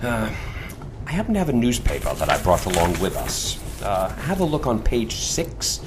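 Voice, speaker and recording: An actor playing a calm, authoritative older leader of a secret team in an audio drama, speaking in a measured way. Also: male voice, fairly low pitch, background noise. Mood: grave, persuasive.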